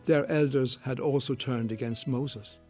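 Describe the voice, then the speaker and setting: A man's voice: medium pitch, fairly even intonation, a little slow, mellow. A man reading scripted narration for a story, in the role of narrator. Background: guitar music.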